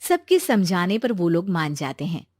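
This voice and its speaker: A woman's voice: fairly low pitch, big pitch swings, moderate pace, a little mellow, dry recording, studio quality. A woman narrating a scripted moral story as a storyteller.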